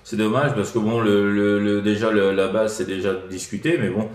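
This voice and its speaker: A man's voice: fairly low pitch, flat delivery, normal speed, echoey room. A man chatting casually as a radio operator, hesitant and repetitive, often tagging his phrases with small filler words.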